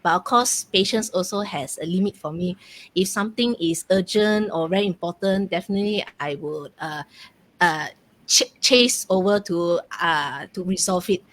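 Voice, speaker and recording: A woman's voice: on the low side, normal speed, a bit bright. Chatty Malaysian woman hosting a live stream. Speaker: host of a live question game, online mentor.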